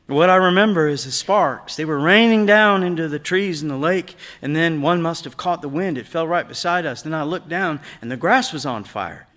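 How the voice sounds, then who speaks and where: A man's voice: a little high, normal speed, few pauses, a bit bright, pitched above the performer's own voice, close to an everyday voice. A man reading a story aloud, voicing a grieving, moody young son with emphatic, exasperated delivery. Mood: recalling, excited. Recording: long hall reverb of a stage.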